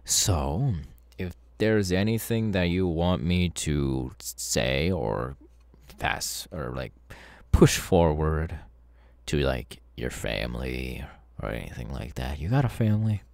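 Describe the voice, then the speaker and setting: A man's voice: very deep voice, lively intonation, slow pace, gravelly, a little mellow, dry recording, exaggerated voice. A man playing a smug, sadistic masked killer in an ASMR audio roleplay, taunting in a menacingly casual, mocking way. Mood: mockingly polite. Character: sadistic masked young male killer, smug, gleeful cult henchman.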